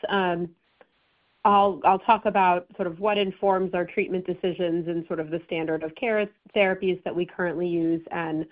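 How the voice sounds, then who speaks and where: A woman oncologist with a hoarse voice gives an informative medical talk to patients, with many ums and restarts. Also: lowish voice. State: hoarse, recovering. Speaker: breast oncologist presenting. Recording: tinny phone-line audio.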